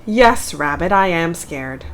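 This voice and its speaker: Lady doing a nervous but honest storybook bear, slow and earnest, voice moves around a lot. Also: on the low side, animated, a little hiss or hum. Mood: anxious confession. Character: anxious, honest, good-hearted bear. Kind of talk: children's storybook read-aloud.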